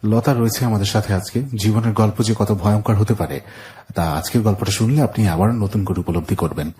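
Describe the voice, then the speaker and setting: A man hosting a life-story interview show, measured and earnest as he listens and addresses listeners. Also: lowish voice, dark tone. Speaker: radio show host and interviewer.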